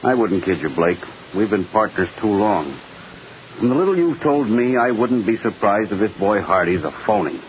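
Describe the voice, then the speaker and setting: An actor playing a sceptical, blunt, tough-talking business partner with a sardonic manner, in 1940s radio drama style. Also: male voice, on the low side, very animated, normal speed. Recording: hissy narrow-band old radio broadcast.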